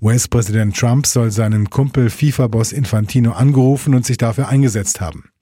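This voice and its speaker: Man, radio news anchor, standard German accent, scripted reading, mellow tone, dry sound. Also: medium pitch, a little fast, slightly rough, no room sound.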